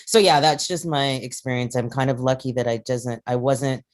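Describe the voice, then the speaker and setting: A woman's voice: rumbling low, fairly even intonation, normal speed. A woman from Canada, middle-aged, speaking in a casual discussion, rambling with many fillers and restarts. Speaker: panel participant.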